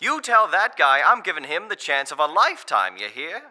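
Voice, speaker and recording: A man's voice: a little high, very animated, normal speed, pushed and bright, exaggerated voice. A man playing a brash, jovial, back-slapping young businessman in an old-time radio drama. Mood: brash, confident.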